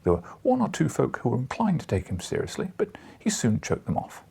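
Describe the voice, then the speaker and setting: A man's voice: mid-range voice, huge pitch swings, a little fast, gravelly, darkish tone, husky, pitched above the performer's own voice, full character voice. A man voicing a thin, dry, disdainful scientific journalist in an audiobook, gossiping with scornful emphasis. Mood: scornful, amused.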